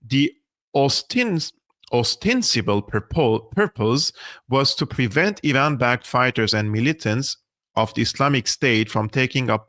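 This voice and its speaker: A man's voice: mid-range voice, a bit bright, dry recording, clean studio sound. A man from Syria, hosting a political live stream, arguing emphatically and reading an article aloud. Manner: emphatic and argumentative, with restarts. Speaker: host of a political analysis channel.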